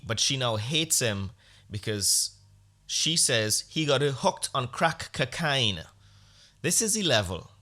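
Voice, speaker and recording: Blunt, ranting man with a bright voice. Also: medium pitch. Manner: blunt, ranting, sarcastic, profane. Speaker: podcast host. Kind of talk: casual opinionated podcast monologue.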